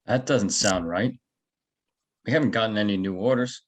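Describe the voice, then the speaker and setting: A conscientious security guard, played by a man in a table read. He speaks plainly in a lowish voice, puzzled and a little hesitant. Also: moderate pace, natural voice. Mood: suspicious. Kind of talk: screenplay table read acting.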